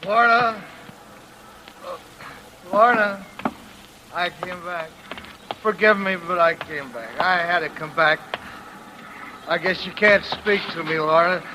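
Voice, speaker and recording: Drunk grieving ex-husband, slow high-ish rambling, keeps repeating himself, old noisy radio play. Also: male voice. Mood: maudlin, remorseful. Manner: halting, repetitive, maudlin drunken rambling. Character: drunken, grieving ex-husband who has killed. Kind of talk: 1940s radio acting.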